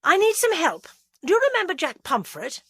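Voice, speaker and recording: Woman doing a sharp, prickly businesswoman. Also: very high-pitched, huge pitch swings, normal speed, no room sound, voice pushed up, heavily acted. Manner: sharp, demanding, defensive. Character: brisk, prickly middle-aged businesswoman.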